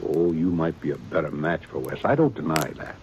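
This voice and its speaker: An actor playing a weary, dutiful aging small-town sheriff with a plain folksy drawl in a 1950s radio drama. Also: male voice, a bass voice, expressive, brisk, darkish tone, highs cut off. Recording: old radio broadcast with faint hiss.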